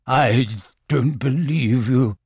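A man's voice: on the high side, sing-song, slow pace, gravelly, slightly rough, no room sound, studio quality, full character voice. A man voicing a pompous, blustering owl in a storybook, indignant and easily rattled. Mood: nervous. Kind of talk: storybook character voice.

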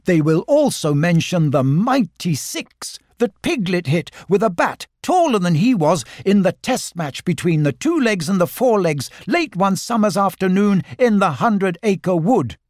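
A man's voice: high voice, sing-song, measured pace, few pauses, darkish tone, no room sound, clean studio sound, voice pushed up. A man voicing a kindly, earnest young boy in a storybook. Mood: solemn, earnest.

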